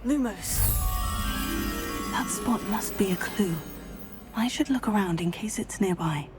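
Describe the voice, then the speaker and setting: A woman's voice: mid-range voice, unhurried, over loud music, natural voice. An actress playing a polite, self-assured teenage witch in a video game, speaking in a composed, understated manner. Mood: curious. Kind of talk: video game protagonist dialogue.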